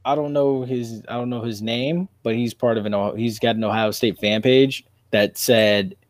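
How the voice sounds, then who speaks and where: A man hosting a sports podcast in casual, animated debate, making his points emphatically and repeating phrases for effect. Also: medium pitch, moderate pace, dry recording.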